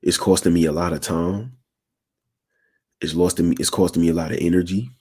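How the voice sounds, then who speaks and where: A man in his twenties with a raspy voice and a sore throat, talking casually to viewers on his livestream and checking in with slangy asides. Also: low voice, steady tone, a little fast, darkish tone. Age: 20s. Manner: slangy, keeps asking "you feel me". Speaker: channel host.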